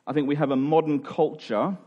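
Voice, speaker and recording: A man in his forties preaching a sermon, earnest and emphatic, sometimes dropping to a quiet voice. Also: fairly high pitch, steady tone, brisk, lo-fi sound. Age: 40s. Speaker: pastor.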